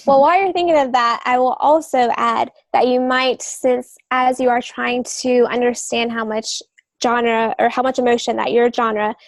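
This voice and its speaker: A woman with a fairly high voice and plenty of vocal fry, recorded very dry. She chats as a podcast co-host, explaining things with some hesitation. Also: fairly even intonation, normal speed, no echo. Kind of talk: casual advisory discussion.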